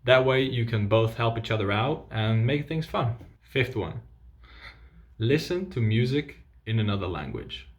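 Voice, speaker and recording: A man's voice: medium pitch, animated, measured pace, lo-fi sound. A young man whose first language is Dutch, presenting an upbeat video lesson with tips for viewers. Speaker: language coach.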